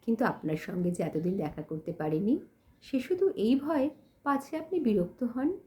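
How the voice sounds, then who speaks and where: Lady doing a poor but dignified village girl in an audio story, soft, polite, lilting pitch. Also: expressive, moderate pace, close to an everyday voice. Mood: humble, sorrowful. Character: humble, dignified poor young village woman, soft-spoken. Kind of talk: acted dialogue in audio storybook.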